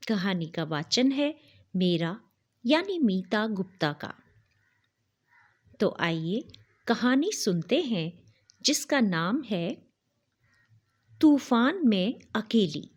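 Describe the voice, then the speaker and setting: A woman storyteller reading a folk tale aloud in a slow, measured, expressive narration. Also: medium pitch.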